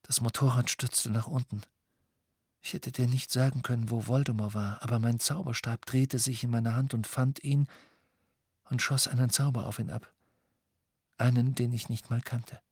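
A troubled teenage boy speaks tensely and flatly, read by a male narrator. The sound is dry and close. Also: mid-range voice, moderate pace, slightly rough, dry recording. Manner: tense, flat. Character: tormented teenage boy wizard.

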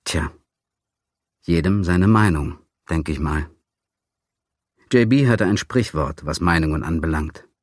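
A man's voice: low-pitched, measured pace, pauses often, dry recording. A man narrating a memoir in a dry, sardonic, crude manner.

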